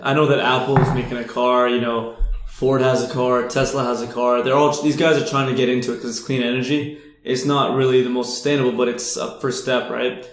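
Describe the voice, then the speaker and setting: A man's voice: medium pitch, level tone, fairly quick. A man, chatting casually on a podcast. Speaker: podcast participant. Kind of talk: casual chat. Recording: roomy, echoing sound.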